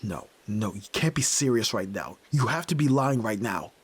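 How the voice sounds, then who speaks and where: A man's voice: fairly low pitch, lively intonation, moderate pace, husky. A man playing a flustered young nerd, reluctant son of a crime boss, in an audio drama, stammering and emotional. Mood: flustered disbelief. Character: flustered young tsundere nerd, desperate Yakuza heir son. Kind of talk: ASMR roleplay audio drama acting.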